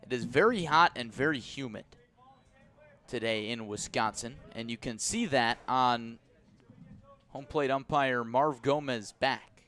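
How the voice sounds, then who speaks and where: A man calling live baseball play-by-play for the radio in a measured, descriptive way. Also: medium pitch, sharp tone. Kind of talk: live radio sports commentary.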